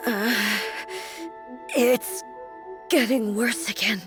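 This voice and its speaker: A woman playing a weakened but resolute warrior deity in a video game, speaking in a grave, formal, halting way. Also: on the high side, fairly even intonation. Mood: grave, resolved. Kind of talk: video game character acting. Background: prominent music.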